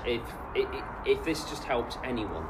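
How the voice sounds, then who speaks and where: A man's voice: medium pitch, animated, unhurried, background noise. A man, co-hosting a casual podcast chat. He often restarts his sentences and tells stories. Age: late 20s. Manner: stammering restarts, storytelling. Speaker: podcast co-host.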